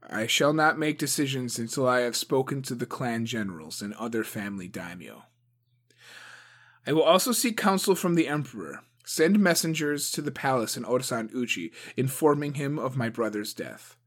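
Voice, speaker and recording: A man voicing a calm young clan leader. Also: medium pitch, moderate pace, close to an everyday voice. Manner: calm, commanding.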